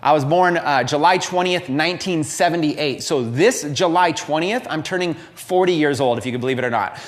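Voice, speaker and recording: A man's voice: a little high, a little fast. A man in his late thirties giving a candid, unscripted motivational talk, openly confessing his past to viewers. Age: 30s. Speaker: entrepreneur and coach.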